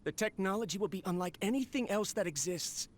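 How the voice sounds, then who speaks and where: A man's voice: sits high, animated, brisk. A man voicing a proud, ambitious researcher in a video game, grandiose as he addresses his machine. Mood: proud, hopeful. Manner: grandiose, declaiming.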